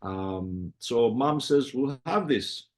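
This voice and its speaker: A middle-aged man with a heavy Greek accent, telling his life story in a relaxed interview, full of fillers and restarts. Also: medium pitch, slow. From Greece. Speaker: music producer guest. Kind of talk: casual interview conversation.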